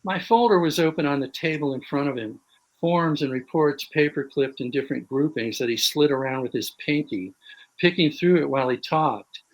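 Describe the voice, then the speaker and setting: Man reading his fiction aloud, measured. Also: on the high side, low-quality recording. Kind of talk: prose reading.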